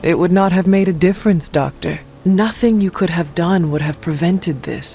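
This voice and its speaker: A stoic male warrior hero from a video game, calm and earnest. The voice is fairly high for a man and measured in delivery. It sounds narrow and phone-like, with faint music behind. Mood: calm, consoling. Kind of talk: video game character dialogue.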